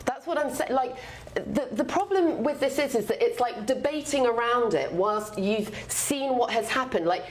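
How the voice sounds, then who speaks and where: A woman arguing heatedly as a panelist in a studio debate, loud and impassioned. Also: a little high, expressive, normal speed, few pauses, a little hiss or hum.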